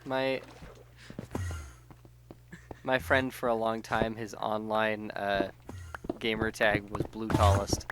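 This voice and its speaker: A man's voice: lowish voice, flat delivery, slow pace, pauses often, very noisy. A man co-hosting a gaming session in casual banter, rambling playfully and sometimes breaking into mock singing or silly quotes. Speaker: let's play co-host.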